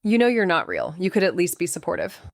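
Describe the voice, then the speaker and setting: A woman's voice: on the low side, wildly swooping pitch, quick pace, creaky, no room sound, studio quality, exaggerated voice. A woman playing a wry, restless young amateur investigator in a noir audio drama. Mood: defensive. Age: young adult. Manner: wry, sarcastic.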